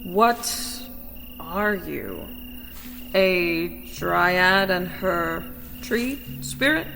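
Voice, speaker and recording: A woman voicing a frightened, shabby young man in a fantasy audio drama, speaking in a tremulous, halting way. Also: fairly low pitch, lively intonation, gravelly, a bit bright, big-room sound, faint music behind, heavily acted. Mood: fearful, amazed.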